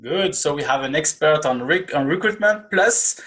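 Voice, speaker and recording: A man in his thirties hosting a conversational podcast interview, speaking in halting, hesitant phrases. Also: a little high, lively intonation, fairly bright tone. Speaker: podcast host. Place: small indoor room, video call.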